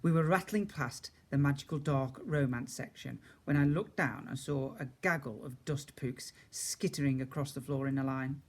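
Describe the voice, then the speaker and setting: A man's voice: mid-range voice, normal speed, hardly any pauses. A man reading a children's story aloud expressively, as a teacher does for a young class.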